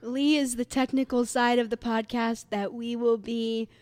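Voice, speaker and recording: A young woman in her twenties with a slightly high voice, joking around as she co-hosts a chatty podcast. She talks slowly and unhurriedly, recorded close on a dry home-studio mic. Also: level tone, a little mellow, no echo. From upstate New York. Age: 20s. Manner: playful, giggly banter. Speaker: podcast co-host. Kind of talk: casual chat.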